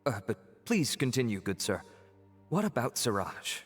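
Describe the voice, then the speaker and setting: A man voicing a polite, calm young male academy official in a video game, formal and soothing, over game music. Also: medium pitch, measured pace, husky. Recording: game audio under music.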